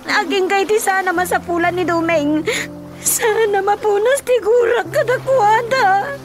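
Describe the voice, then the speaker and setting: An actress playing a tearful, pleading young daughter in an Ilocano radio drama. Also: female voice, very high-pitched, a little slow. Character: young woman Rosa, tearful anxious daughter. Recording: radio drama with music behind.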